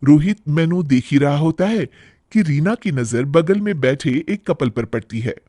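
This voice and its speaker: Guy narrating a kids moral story, high lively voice, scripted read. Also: moderate pace, dry recording.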